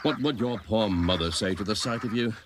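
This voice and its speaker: A man as low, pompous cartoon duck. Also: a little fast, noisy background, natural voice. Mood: amused, mocking. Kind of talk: animated children's storybook acting.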